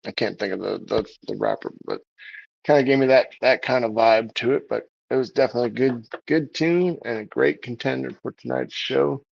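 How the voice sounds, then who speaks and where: A man hosts a music livestream, his voice heavily gravelly with deep vocal fry. He rambles casually, stopping and restarting often. The sound is dry and dull, like lo-fi stream audio. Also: a little fast, dry recording. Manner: rambling, with many fillers and restarts. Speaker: livestream show host.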